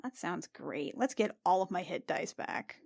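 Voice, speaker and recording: A woman's voice: lowish voice, expressive, a little slow, vocal fry, a little mellow, no room sound, studio quality. A woman playing in a tabletop roleplaying podcast, telling an improvised story in a casual, playful way.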